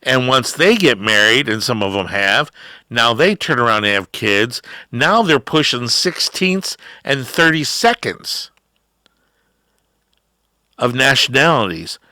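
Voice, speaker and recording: A middle-aged man hosting a talk show, delivering a rambling opinion monologue with drawn-out words and emphatic rises. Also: medium pitch, very animated, pushed and bright, dry recording, studio quality. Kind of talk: ranting political talk.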